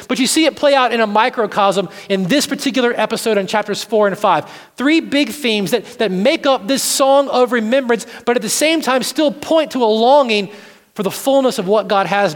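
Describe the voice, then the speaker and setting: Male preacher, high-pitched voice, earnest deliberate sermon delivery, live in a reverberant hall. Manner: earnest, deliberate phrasing with repetition for emphasis. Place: large reverberant room, church service.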